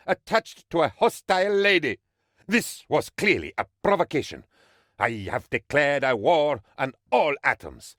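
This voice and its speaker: An actor playing an eager, bumbling, cheerfully shameless aide to a dictator in a video game, with a theatrical, mock-official delivery. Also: male voice, medium pitch, a bit bright, no room sound, clean studio sound. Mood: pompous, enthusiastic. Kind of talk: comic video game voice acting, reporting to his leader.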